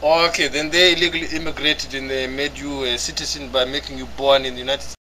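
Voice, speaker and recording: A man's voice: medium pitch, flat delivery, quick pace, pushed and bright, slight background noise, rough recording. A man in a gaming voice chat, firing blunt, provocative questions at other players. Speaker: guild member on voice chat.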